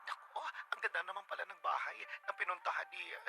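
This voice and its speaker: An actor with a Filipino accent playing an excitable, nosy best friend in a Tagalog radio drama. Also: medium pitch, fairly quick, vocal fry, heavy background noise, close to an everyday voice. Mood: excited, nosy.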